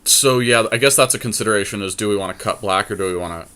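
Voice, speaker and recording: A man's voice: fairly low pitch, quick pace, bright, forceful tone, clean tone. A man chatting casually as a podcast co-host, weighing card game choices as he thinks aloud. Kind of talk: casual chat while playing a card game draft.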